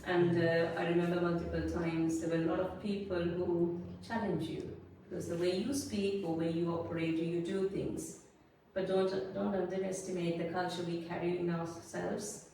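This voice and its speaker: A woman in her forties with a Sri Lankan accent, giving earnest, measured interview answers as a guest. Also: lowish voice, little pitch movement, dark tone. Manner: earnest, measured, speaks in lists and principles. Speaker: guest, business consultant. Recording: roomy echo, a little distant from the mic, faint noise.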